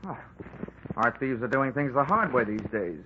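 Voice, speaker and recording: A man's voice: mid-range voice, expressive, normal speed, natural voice. A man playing a wisecracking American investigator. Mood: dry. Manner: dry, wisecracking. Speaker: narrator and lead. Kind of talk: 1940s radio acting. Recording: noisy lo-fi old radio recording.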